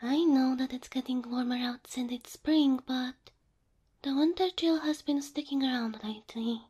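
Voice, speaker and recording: A woman performing an ASMR roleplay as a gentle, doting cow-girl girlfriend, speaking softly and tenderly into a close microphone. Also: high-pitched. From Slavic Eastern Europe. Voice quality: soft, breathy. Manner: tender, slow, coaxing.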